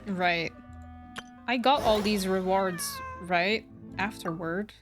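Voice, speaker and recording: A woman's voice: mid-range voice, takes it slowly. A young woman livestreaming a game, reacting and chatting casually as she plays. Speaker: streamer playing a game. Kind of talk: casual live gameplay reaction chat. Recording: game music behind the voice. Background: game music.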